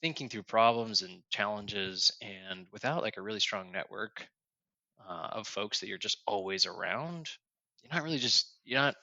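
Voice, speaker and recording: A man's voice: lowish voice, expressive, moderate pace, bright, forceful tone, dry recording, dull audio. A man who is a podcast guest, speaking earnestly with self-deprecating humor over a video call. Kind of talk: casual podcast chat.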